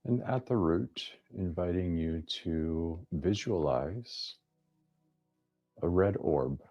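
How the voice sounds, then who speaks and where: A deep-voiced man guides a meditation over background music. He speaks very slowly, in gently inviting phrases broken by long pauses. He sounds like a teacher giving soft instruction. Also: muffled recording.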